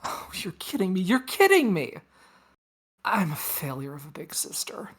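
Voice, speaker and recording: A woman's voice: on the low side, very animated, moderate pace, slightly rough, heavily acted. A woman playing a wry, teasing big-sister space probe in a casual sci-fi table read. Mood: teasing, exasperated. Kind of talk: table read of a science fiction story.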